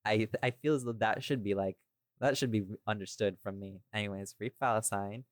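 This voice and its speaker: A man's voice: on the low side, steady tone, brisk, no room sound, clean studio sound. A man hosting a casual behind-the-scenes podcast chat in a rambling, informal way. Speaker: podcast host and audio creator.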